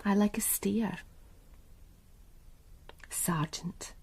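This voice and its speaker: A woman's voice: medium pitch, drawn-out words, darkish tone, breathy edge, in a whisper, exaggerated voice. An actress playing a cool, ambitious businesswoman in a radio crime drama, composed, suggestive and dismissive. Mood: seductive. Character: cool, ambitious, flirtatious businesswoman guarding her secrets. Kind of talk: naturalistic British radio crime drama acting.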